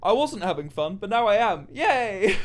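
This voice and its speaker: Man, game streamer, very lively pitch, casual joking, sweary. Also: a little slow. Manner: sweary, jokey banter. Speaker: live streamer playing a team game. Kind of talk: casual gaming chat.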